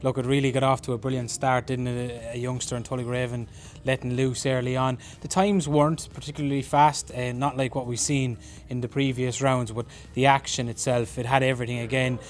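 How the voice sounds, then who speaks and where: An Irish man giving measured racing analysis as a pundit, often opening his points with "look". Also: medium pitch, level tone. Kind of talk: race analysis chat.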